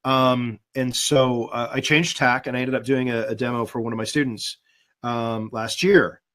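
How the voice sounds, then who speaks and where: Man, mid-pitch, easygoing guest, thoughtful, plenty of ums, video call. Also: normal speed. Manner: thoughtful, relaxed, with many fillers. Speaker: guest voice actor and demo producer. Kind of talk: casual webinar chat.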